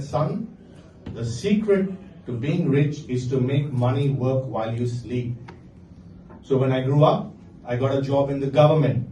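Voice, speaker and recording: A middle-aged man with an Indian accent delivers stand-up in a dry, deadpan way, pausing for laughs. His voice is fairly high for a man but mellow in tone. He sounds distant from the mic in an echoey venue with faint background noise. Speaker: stand-up comedian. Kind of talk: stand-up comedy set. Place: comedy venue with live audience.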